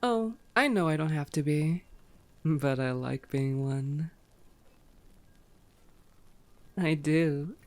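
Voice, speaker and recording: A woman's voice: deep voice, takes it slowly, a little mellow. A woman playing a sardonic, teasing, bloodthirsty female vampire in an ASMR roleplay, drawing out words and sometimes sinking toward a whisper. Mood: savoring, menacing. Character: teasing, unrepentant bloodthirsty female vampire, old yet youthful-sounding.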